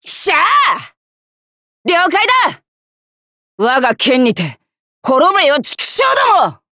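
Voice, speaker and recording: A woman voicing a brash, cocky young tomboy knight with rough, swaggering speech for an anime game. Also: high-pitched, sing-song, slow, bright, forceful tone, no room sound, clean studio sound, exaggerated voice. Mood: fierce, battle-ready. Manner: rough masculine slangy speech, boisterous, swaggering. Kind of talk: Japanese anime game character voice lines.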